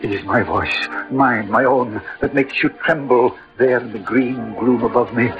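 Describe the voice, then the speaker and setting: An actor playing an eloquent, lovelorn poet-soldier with ardent, lyrical delivery in old radio drama style. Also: male voice, medium pitch, lightly acted. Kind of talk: 1940s-50s radio drama acting. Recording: dull old radio recording.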